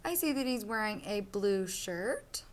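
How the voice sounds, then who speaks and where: A woman's voice: medium pitch, expressive, unhurried. A woman teacher leading a read-aloud lesson for children, explaining step by step in simple words. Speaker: elementary school teacher.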